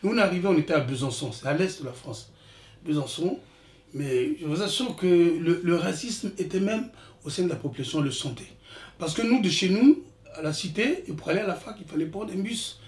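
A man in his sixties from the Republic of the Congo tells his story in an animated way, stumbling and restarting as he goes. His voice sits a little high for a man. He sounds set back from the mic in a roomy, echoing space. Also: brisk. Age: 60s. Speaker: interviewee, father. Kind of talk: casual interview talk. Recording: echoey room, distant mic.

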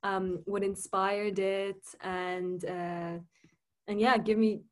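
A woman hosting a podcast over a laptop video call, talking casually and hesitantly with many pauses. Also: mid-range voice, flat delivery. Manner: hesitant, many fillers and restarts. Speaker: podcast host.

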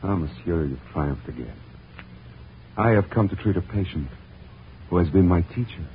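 Hissy old radio play: low-voiced physician, courteous, coaxing, very expressive intonation. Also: male voice. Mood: warm admiration. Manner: polite, measured, theatrical. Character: courteous male physician, admiring, gently persuasive. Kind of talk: 1940s radio drama acting. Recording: dull, noisy old radio recording.

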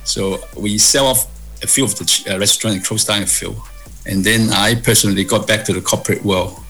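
A man in his sixties from Hong Kong, answering interview questions in a measured way with many fillers and restarts. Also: on the low side, fairly even intonation, a bit bright, soft background music, poor audio. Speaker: guest, property executive.

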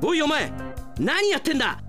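A man playing an earnest, excitable, indignant male office worker in a melodramatic radio drama. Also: extremely high pitch, moderate pace, music in the background, voice pushed up, heavily acted. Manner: melodramatic, exclamatory, desperately self-justifying.

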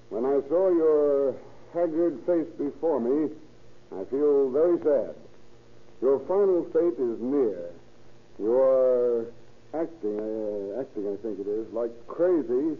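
An American actor playing a plain-spoken deputy sheriff in an old radio crime drama. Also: male voice, mid-range voice. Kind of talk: 1930s radio drama acting. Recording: tinny old radio recording.

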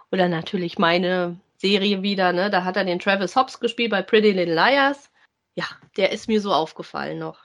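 A woman, chatting casually as co-host of a review podcast. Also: mid-range voice.

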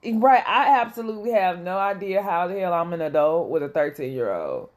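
A woman's voice: fairly low pitch, animated, brisk, a little mellow. A woman, hosting a frank, profane, laughing chat with friends. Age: 30s. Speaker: host. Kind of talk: casual chat.

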